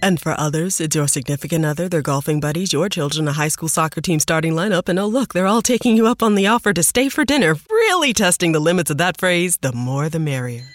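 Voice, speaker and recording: A man narrates a scripted radio advertisement over clear background music. His delivery is conversational and wry. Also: a little high, animated, fast, hardly any pauses.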